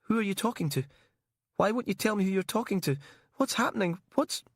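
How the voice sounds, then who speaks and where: A man's voice: high voice, normal speed, husky, no echo, studio quality, voice pushed up. A man voicing a panicking male captive, frantic, pleading and sobbing in an audiobook thriller. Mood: panicked. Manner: pleading, terrified.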